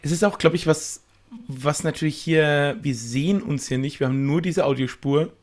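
A man's voice: fairly high pitch, moderate pace. A man chatting casually on a panel, explaining things colloquially and often repeating words as he goes. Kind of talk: casual chat.

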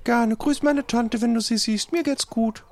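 A woman's voice: on the high side, unhurried, darkish tone, no room sound. A woman playing an eager, helpful young cook's assistant, polite and hesitant. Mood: friendly.

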